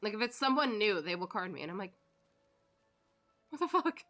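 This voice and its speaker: A woman's voice: mid-range voice, very animated, normal speed, fairly bright tone. A woman in her thirties, a streamer chatting casually while she plays. She tells stories in a loose, rambling way, laughs often and swears offhandedly. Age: 30s. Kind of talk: casual chat while gaming.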